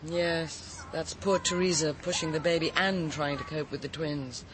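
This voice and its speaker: A woman's voice: sits low, steady tone, moderate pace, noisy background, natural voice. An actress playing a poised, sociable countrywoman in a radio drama, speaking politely and articulately with slight hesitations in a British accent. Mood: sociable, concerned. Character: poised, sociable, frank countrywoman, a writer and cook.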